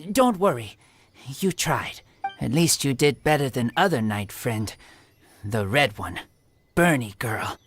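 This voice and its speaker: An awkward, wary boy raised by wolves in a video game. The voice is very deep and slow, rising and falling a lot in terse, halting phrases. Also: animated, breathy edge, no echo, soft background music, heavily acted. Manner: halting, broken simple sentences. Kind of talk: video game character voice acting.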